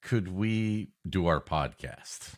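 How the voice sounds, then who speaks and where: Low-pitched man riffing dryly on a podcast. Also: animated, slow pace. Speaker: podcast co-host. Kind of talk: improvised comic banter.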